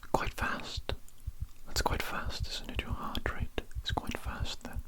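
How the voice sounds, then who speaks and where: Man acting a whispering evil doctor. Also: natural voice. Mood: calmly menacing. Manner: slow, deliberate, repetitive, with soft tag questions. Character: evil doctor kidnapper, calmly menacing, fussy and callous. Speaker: ASMR performer. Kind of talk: whispered ASMR roleplay.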